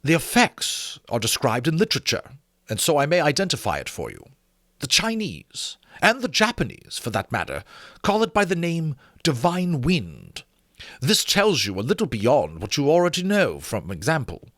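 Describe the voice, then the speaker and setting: A man voicing a learned, grave gentleman scholar with formal, courteous speech in a dramatic book reading. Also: on the high side, huge pitch swings, normal speed, no echo, clean studio sound, pitched above the performer's own voice, exaggerated voice. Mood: grave, explanatory. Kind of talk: dramatic audiobook character reading.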